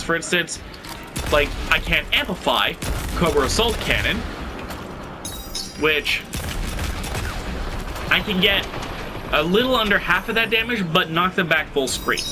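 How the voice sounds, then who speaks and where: Stuffy-nosed man explaining game mechanics. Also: high voice. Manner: analytical, conversational, thinking aloud. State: slightly stuffy nose. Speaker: video game guide host. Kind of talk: gameplay tutorial commentary.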